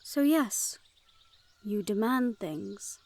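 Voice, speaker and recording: A woman's voice: medium pitch, expressive, extremely slow, darkish tone, no echo. A woman playing a wary, teasing Fae border guard in a fantasy audio roleplay, with a playful, sardonic and haughty manner. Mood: wry, resentful.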